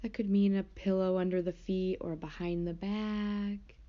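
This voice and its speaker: A woman guiding a meditation in a soft, slow, gentle way. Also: mid-range voice, steady tone, lo-fi sound. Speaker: meditation teacher. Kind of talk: guided meditation.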